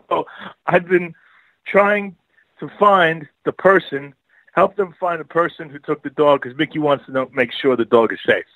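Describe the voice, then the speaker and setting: Rambling New York man, higher voice. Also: a little slow, pauses often, sharp tone, no echo, poor audio. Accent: Brooklyn/Long Island New York. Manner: rambling storyteller, laughs often, many fillers. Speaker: guest author and filmmaker. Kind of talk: casual radio interview.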